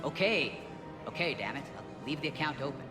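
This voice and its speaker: A man's voice: on the high side, lively intonation, brisk, natural voice. An actor playing an anxious, distrustful male civilian in a sci-fi game, agitated and exasperated. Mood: grudging. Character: anxious, distrustful male bank client ready to flee war. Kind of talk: video game voice acting. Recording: echoey hall with music behind.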